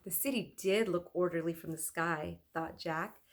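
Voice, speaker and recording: A woman teacher reading a children's story aloud in an expressive, deliberate manner. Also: fairly low pitch. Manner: deliberate, clearly phrased, animated.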